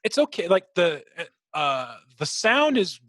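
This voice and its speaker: Man, slightly high voice, dry close sound, casual game chat, podcast co-host, sweary. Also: big pitch swings, a little slow, fairly bright tone, no room sound. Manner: swears freely, imitates sound effects.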